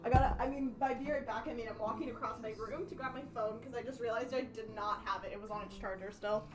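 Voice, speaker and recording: A woman's voice: a little high, fairly even intonation, fast. A woman casually chatting as a livestream host, rambling playfully and often breaking off mid-thought. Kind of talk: casual gaming stream chat.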